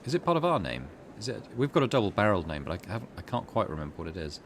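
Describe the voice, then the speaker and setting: A man's voice: lowish voice, sing-song, very fast, slight background noise. A man giving casual let's-play commentary as a gaming host, thinking aloud and reading on-screen text out.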